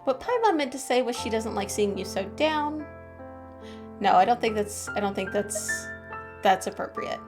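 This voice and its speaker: A woman's voice: on the high side, big pitch swings, normal speed. A woman streamer giving casual, lighthearted, chatty commentary while playing through a game. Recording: game music behind the voice. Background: game music.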